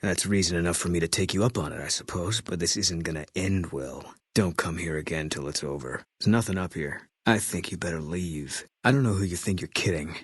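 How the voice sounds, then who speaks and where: Low, husky, grim sniper. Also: male voice, a little fast, few pauses, no room sound, studio quality. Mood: guarded, weary. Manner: terse, flat, clipped, brooding delivery. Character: grim, bitter male ex-army sniper, guarded and grieving widower. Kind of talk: video game voice acting.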